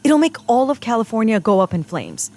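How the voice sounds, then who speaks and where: An eager young agent in training in a comedy audio drama. She is bossy and keen to take charge. Her voice sits a little high and moves in a lively way. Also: brisk, a little hiss or hum. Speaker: Mackenzie McGrath.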